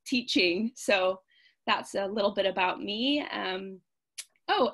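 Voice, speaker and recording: A woman's voice: medium pitch, fairly bright tone. American woman in her 30s, guest poet on a video call, chatty with lots of ums. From California. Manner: chatty, hesitant fillers. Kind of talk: festival introduction over video call.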